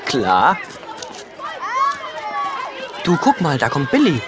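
An actor playing a cheeky, quick-witted schoolboy in a German children's audio drama. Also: male voice, on the high side, huge pitch swings, a crowd in the background, background noise, full character voice. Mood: teasing. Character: cheeky schoolboy Willi, teasing older-sounding brother, quick-witted.

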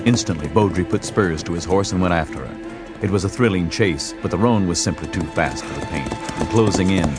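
Very deep-voiced male western narrator. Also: brisk. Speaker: audiobook narrator. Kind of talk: scripted dramatic western narration. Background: music and horse hoofbeats.

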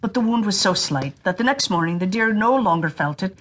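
Female children's audiobook narrator: slightly husky, mid-pitched, expressive and deliberate with scripted fairy tales.

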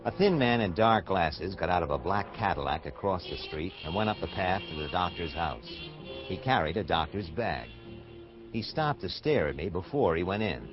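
A very low-pitched American private detective, wry and cynical in a hardboiled radio drama. His delivery moves freely up and down as he narrates and wisecracks. The recording is dull, with music prominent behind him. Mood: wry, watchful. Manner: sardonic, wisecracking.

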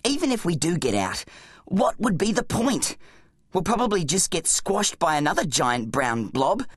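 A woman's voice: on the low side, big pitch swings, moderate pace, husky, dry recording, clean studio sound. A woman voicing an earnest, exasperated boy hero in an acted audiobook story. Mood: gloomy. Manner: insistent, glum.

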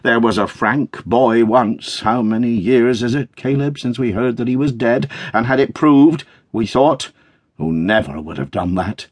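A man's voice: mid-range voice, a little slow, slightly rough, no room sound, studio quality. A man voicing an honest, wounded working man in a storybook, grave and reproachful. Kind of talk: dramatic storybook character reading.

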